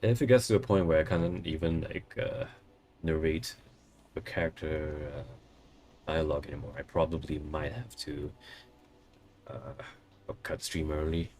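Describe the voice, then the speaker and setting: Male streamer, very low and coarse, hoarse from a cough, friendly chat with viewers. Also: fairly even intonation, unhurried, pauses often, a little mellow. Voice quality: coarse, strained voice. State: hoarse, losing his voice from a cough. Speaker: game streamer.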